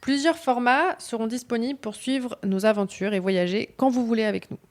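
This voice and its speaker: A woman co-hosting a bicycle radio project, reading a scripted presentation clearly with small hesitations. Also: on the high side, moderate pace, slight background noise.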